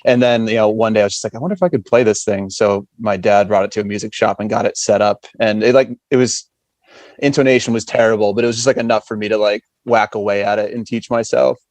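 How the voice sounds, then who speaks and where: American male musician, podcast guest, lower voice, casual, close dry recording. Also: fairly even intonation, fast talker, darkish tone, no echo. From western Massachusetts, USA. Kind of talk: casual chat.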